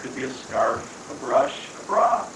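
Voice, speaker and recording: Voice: medium pitch, little pitch movement, unhurried, natural voice. A performer playing a frustrated, insecure man who hesitantly asks a guru for advice, in a dramatic reading of a satirical play. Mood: plaintive, puzzled. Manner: hesitant, plaintive. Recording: echoey room, far from mic, noisy.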